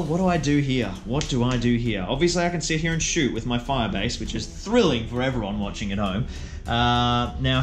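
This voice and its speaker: A man narrates his own tabletop wargame turn by turn in a casual, mid-pitched voice. He is jokey and self-mocking, exclaiming at the dice, with music playing behind him. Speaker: player and host of a tabletop wargame video. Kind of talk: casual play-by-play game commentary.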